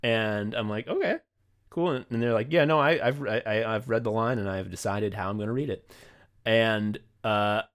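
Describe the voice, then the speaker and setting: Animated American man chatting casually. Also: fairly low pitch, moderate pace. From United States. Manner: animated, sweary, laughs readily. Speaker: podcast host. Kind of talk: casual comedic chat.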